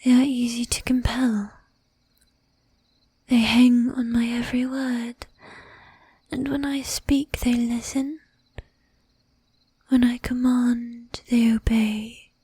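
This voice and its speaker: Woman playing a breathy, slow-whispering seductive vampire lady. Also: fairly high pitch, little pitch movement, dark tone, dry recording, full character voice. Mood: haughty, puzzled. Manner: slow, teasing, commanding, with archaic polite phrasing. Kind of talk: whispered ASMR roleplay.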